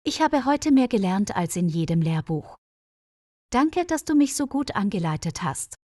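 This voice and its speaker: Woman reading as an earnest young nurse, animated pitch. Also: moderate pace, no room sound, studio quality. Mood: grateful, admiring. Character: eager, grateful young female nursing newcomer.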